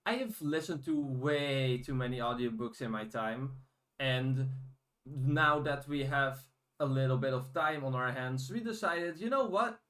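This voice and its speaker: A man's voice: medium pitch, a little slow, a bit bright. A man hosting a podcast in a casual, informal and blunt chatting style. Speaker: podcast host.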